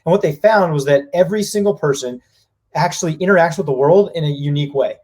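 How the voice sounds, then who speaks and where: Brief: husky male exec, rapid energetic delivery, voices people's reactions. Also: on the high side. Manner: fast, energetic, acts out what people say. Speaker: company president. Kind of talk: business live-stream discussion.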